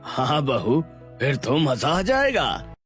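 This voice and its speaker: Family man for cartoon drama, male actor: easygoing, agreeable husband, medium-high male pitch. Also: huge pitch swings, takes it slowly, fairly bright tone, soft background music, full character voice. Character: easygoing, agreeable husband and father of a poor household. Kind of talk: Hindi cartoon story acting.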